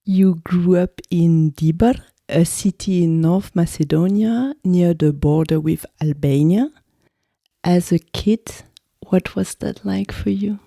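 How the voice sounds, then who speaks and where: Creaky, low-pitched woman hosting an interview. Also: slow, warm tone, no room sound, clean studio sound. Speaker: podcast host and interviewer. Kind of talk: scripted introduction and interview questions.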